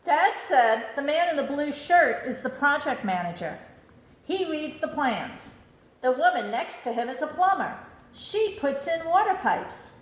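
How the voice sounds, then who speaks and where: A woman reading a children's picture book aloud in an expressive storytelling style. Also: high-pitched, unhurried, reverberant. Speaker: storybook reader.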